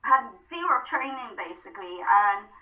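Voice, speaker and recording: A woman's voice: a little high, animated, normal speed. A young woman in her twenties from the South Wales Valleys, whose first language is Welsh, chatting as a podcast guest with plenty of ums. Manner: chatty storytelling, frequent ums. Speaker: podcast guest, theatre student. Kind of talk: casual interview chat. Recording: distant, muffled phone-line call.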